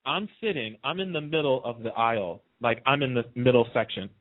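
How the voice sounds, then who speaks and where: A man who works as a radio host, chatting casually and telling fan anecdotes in an excited, dramatic way. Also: medium pitch, brisk, dry recording, narrow, tinny audio.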